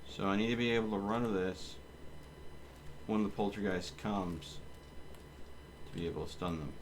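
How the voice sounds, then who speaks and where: A man's voice: lowish voice, normal speed, slight background noise. A man doing live gameplay commentary as a streamer, talking to himself in short running remarks. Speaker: game streamer. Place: indoors, streaming a game.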